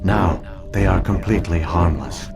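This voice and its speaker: Ancient alien computer mind for a sci-fi game: very deep, solemn, formal, coldly logical, over prominent music. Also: male voice, vocal fry. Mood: calm, explanatory. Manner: measured, formal, deliberate. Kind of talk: scripted video game voice acting, exposition.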